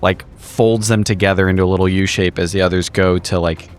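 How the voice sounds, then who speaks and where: A man playing a tabletop game, describing action in a casual, halting, improvised way with many fillers. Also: low-pitched, steady tone, soft background music. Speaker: tabletop roleplaying player.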